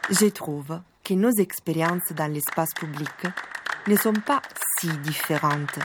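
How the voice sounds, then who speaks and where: Woman narrator: unhurried, low-pitched, calm scripted reading over a hissy, noisy track. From southern Italy. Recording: noisy recording.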